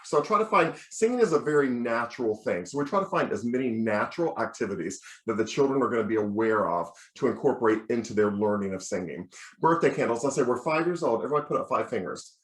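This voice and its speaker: Male American lecturer, children's choir teacher, mid-pitched speaking voice, encouraging instructional delivery, audibly echoey recording. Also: expressive, quick pace. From United States. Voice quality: bass singing voice. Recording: roomy, echoing sound.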